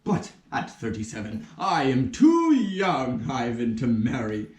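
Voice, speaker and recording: A man's voice: mid-range voice, very animated, measured pace, darkish tone. A man voicing a vain, pompous major in his thirties for a puppet play, boastful and theatrical, recorded in a roomy space. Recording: roomy, distant rehearsal recording.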